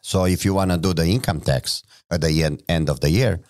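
Deep-voiced Romanian-accented man. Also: a little mellow. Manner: halting English with restarts, listing facts in short phrases. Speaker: guest. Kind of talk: casual podcast conversation.

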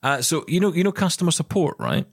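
Chatty Scottish man with lively pitch. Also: fast, gravelly, dry recording, studio quality. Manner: chatty, joking, many fillers. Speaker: podcast co-host. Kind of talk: casual tech podcast chat.

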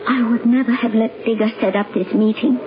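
An actress playing a sorrowful, guarded young island princess in a radio drama, halting and formal. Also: female voice, fairly high pitch, steady tone, over music, close to an everyday voice. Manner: halting, formal, uncontracted phrasing. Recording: muffled, very noisy old radio broadcast.

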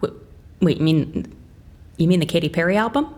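An actress playing a brash, impatient and sarcastic CIA agent in an audio drama, with a casual way of talking. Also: female voice, lowish voice, normal speed, a little mellow, lightly acted. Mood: baffled.

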